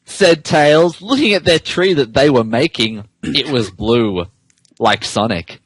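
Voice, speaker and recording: A man hosting a podcast, switching between casual banter and comic dramatic reading in an amused, animated manner. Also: mid-range voice, slow pace, slightly rough, no echo, rough recording. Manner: animated, amused, breaks into laughter while reading. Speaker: podcast host and narrator.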